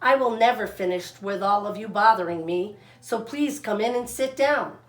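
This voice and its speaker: A woman voicing an eager, friendly young boy turtle in an expressive children's storybook read-aloud. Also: medium pitch, normal speed, reverberant, close to an everyday voice. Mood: exasperated.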